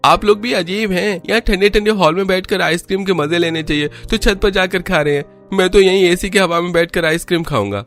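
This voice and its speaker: A man's voice: sits high, a little fast, few pauses, a bit bright, soft background music. A man voicing an eager, pampered teenage boy in a cartoon family story. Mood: teasing, grumbling. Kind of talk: cartoon dialogue.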